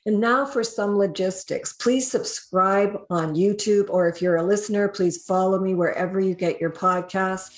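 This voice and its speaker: A woman hosting a podcast interview, speaking in a friendly, measured way with small hesitations. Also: medium pitch, echoey room. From Canada. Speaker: podcast host, HR consultant.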